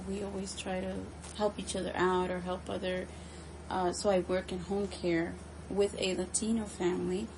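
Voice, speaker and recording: Woman, conversational interviewee, unhurried, gravelly fry, hesitant pauses, noisy recording. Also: mid-range voice. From United States. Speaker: interviewee, home care nurse.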